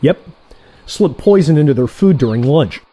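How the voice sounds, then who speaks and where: A man playing a cocky, easily irritated assassin in a comic audio play, complaining in an animated way. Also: a little high, moderate pace. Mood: smug.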